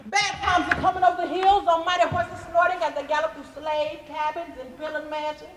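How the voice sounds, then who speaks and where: A woman's voice: very high voice, a bit bright. A woman acting a haunted, fervent enslaved seer in a dramatic stage monologue, speaking in incantatory storytelling. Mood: fervent, ominous. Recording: echoey noisy hall, stage performance.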